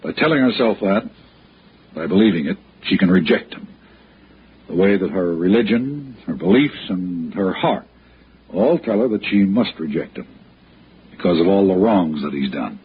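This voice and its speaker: Low-pitched anguished son, swooping delivery. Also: male voice, animated, slightly rough, heavily acted. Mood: anguished, pleading. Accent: American. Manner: earnest, fraught, halting. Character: anguished, pleading respectable son, male. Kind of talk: 1960s radio drama acting. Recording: muffled, hissy old radio broadcast.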